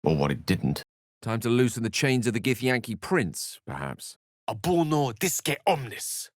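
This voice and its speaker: A man's voice: medium pitch, very animated, unhurried, no room sound, studio quality. An actor voicing an eloquent, wry, bookish male wizard companion in a video game, with a theatrical, erudite delivery. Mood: forceful, incanting. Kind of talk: video game character voice acting.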